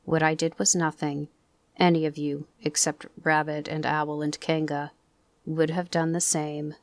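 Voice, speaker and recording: A gloomy, pompous old donkey drags out every word with storybook self-pity, voiced by a woman reader. His voice sits a little low and slow, with a dry, close sound. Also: little pitch movement, dry recording.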